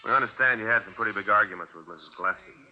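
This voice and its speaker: An American actor playing a businesslike police detective, terse and questioning, in a 1950s radio drama. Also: male voice, on the low side, very fast, lightly acted. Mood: pressing. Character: businesslike male police detective, sergeant's partner. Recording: muffled, hissy 1950s radio recording.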